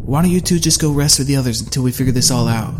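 A man voicing a calm male survivor who talks gently to settle the others. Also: mid-range voice, rapid-fire, background noise, close to an everyday voice. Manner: calming, gentle.

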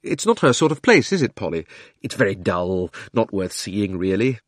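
An earnest, polite boy, put on by a man reading a storybook trips over his words when nervous. The voice is a little higher than the reader's own and bounces around, recorded dry and close. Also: sing-song, dry recording. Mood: flustered. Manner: stammering, hurried, earnest. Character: flustered, polite young boy.

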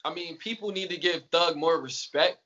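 Southern rapper dude, chill talk, bright voice, kinda lo-fi sound. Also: male voice, a little high, moderate pace. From Atlanta. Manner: laid-back, repeats yes often. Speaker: rapper guest. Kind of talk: casual interview chat.

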